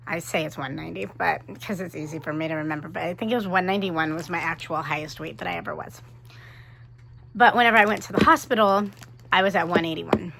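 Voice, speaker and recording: A woman vlogging to her camera in a chatty, animated way, with a cold and her voice starting to fade. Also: lowish voice, creaky, a little hiss or hum, poor audio. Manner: chatty, animated, quick asides. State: sick with a cold, voice fading. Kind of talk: casual chat to camera.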